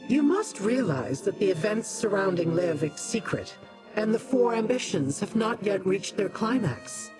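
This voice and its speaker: Video game immortal: lofty, imperious, mid-pitched, very varied intonation. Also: very animated, a little slow, a little mellow, soft background music, poor audio. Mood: lofty interest. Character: lofty immortal being, condescending toward mortals, intrigued. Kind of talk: scripted video game dialogue.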